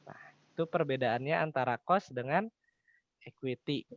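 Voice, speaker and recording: A man's voice: medium pitch, moderate pace, no room sound, muffled recording. A young man tutoring informally, explaining step by step and often asking questions he then answers. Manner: poses questions then answers them, colloquial. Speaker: accounting tutor.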